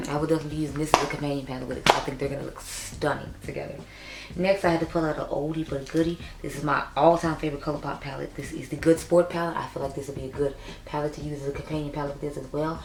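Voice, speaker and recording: A young woman with a raspy voice, chatting casually and upbeat as she reviews makeup for her vlog. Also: sits low, fairly even intonation, racing, a little mellow. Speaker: beauty YouTuber. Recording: echoey room.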